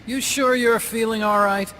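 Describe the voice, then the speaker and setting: An actor playing a talkative, earnest male weapons scientist in a video game cutscene, explaining things intently with dramatic pauses. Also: extremely high pitch, steady tone, background noise. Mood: wary, curious. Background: music.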